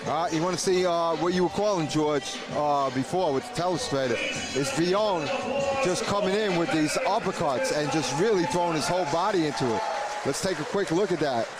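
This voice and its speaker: Chatty, joking ringside co-commentator, man, loud music behind. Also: a little high, fairly quick, over a noisy crowd. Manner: jokey, chatty. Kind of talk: boxing commentary and interview. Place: live amateur boxing event.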